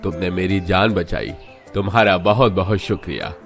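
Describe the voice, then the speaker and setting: A man's voice: low-pitched, normal speed, a bit bright, faint music behind. A man voicing earnest village men in a cartoon moral story, speaking emphatically. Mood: grateful, generous. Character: grateful village headman and humble poor young husband.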